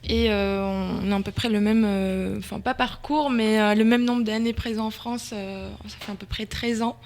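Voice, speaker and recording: A woman's voice: medium pitch, fairly even intonation, normal speed, darkish tone, a little hiss or hum. A woman from Georgia speaking informally as an interview guest, explaining things with frequent hesitations. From Georgia (country). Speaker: interview guest, event organizer. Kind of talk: casual chat, explaining.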